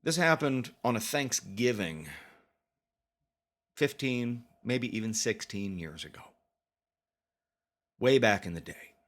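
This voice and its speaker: A man telling a first-person story as a dramatic confession, with deliberate pauses and mock-grave, theatrical emphasis. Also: mid-range voice, animated. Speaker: storytelling podcast narrator.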